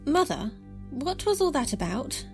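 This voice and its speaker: A young woman playing a defiant, sharp-tongued teenage daughter in an audio drama. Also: sits high, very animated, measured pace, soft background music. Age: young adult.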